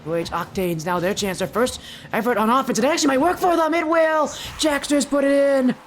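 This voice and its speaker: A teenage boy with a high-pitched, youthful voice, chatting with his live stream audience and casting a video game match, loud and excited on goals. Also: fairly quick, slight background noise. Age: teens. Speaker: esports caster. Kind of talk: live stream chat and play-by-play commentary.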